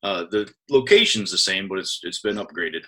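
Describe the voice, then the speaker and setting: A man's voice: low-pitched, lively intonation, moderate pace, sharp tone. A man hosting an interview, asking friendly questions that wander and restart. He fills gaps with ums and uhs and chats along casually. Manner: friendly, rambling questions with many fillers. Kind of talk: conversational interview.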